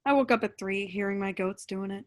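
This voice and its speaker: A woman playing a wistful, reserved, gently wry young woman in a staged comedy reading. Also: mid-range voice, brisk, natural voice. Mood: wry, awkward. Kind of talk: staged reading of a comic play.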